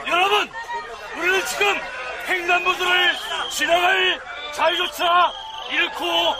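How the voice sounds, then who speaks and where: A man's voice: very high voice, fairly even intonation, takes it slowly. A man giving an emphatic protest speech, declaiming in short, broken phrases. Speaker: protest speaker. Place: outdoor street rally in a city. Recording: outdoor street recording with loud crowd noise. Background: loud crowd and urban noise.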